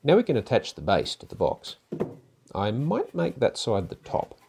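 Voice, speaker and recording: An older man narrating a woodworking project in a calm, methodical, step-by-step way, as host of his own workshop channel. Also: medium pitch, moderate pace, creaky, background noise. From Australia. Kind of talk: instructional voice-over narration. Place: home garage workshop.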